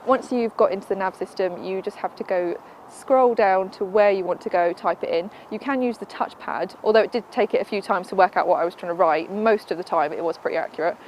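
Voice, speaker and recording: A woman, a car reviewer giving a brisk, matter-of-fact verdict on an in-car system. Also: mid-range voice, darkish tone, slight background noise. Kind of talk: product review.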